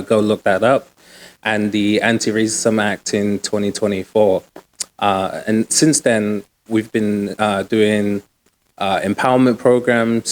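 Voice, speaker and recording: A man talks easily as an interview guest. His voice sits low, and he is relaxed and slangy, with plenty of ums and likes. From Uganda, raised in the UK. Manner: relaxed, slangy, many fillers. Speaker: guest, nonprofit co-founder. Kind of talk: casual interview talk.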